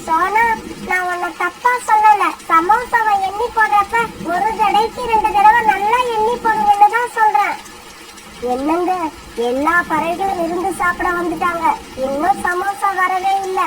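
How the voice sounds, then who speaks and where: A woman's voice: very high-pitched, moderate pace. A woman voicing a scheming, nagging female bird in a cartoon story. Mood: complaining. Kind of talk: dubbed cartoon dialogue. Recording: clearly noisy recording.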